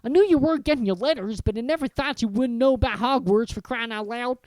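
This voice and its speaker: A reader voicing a huge, hot-tempered male giant from a storybook, who talks in a loud, gruff rural dialect. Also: mid-range voice, quick pace, no echo, voice pushed up, lightly acted. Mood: outraged. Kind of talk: audiobook character reading.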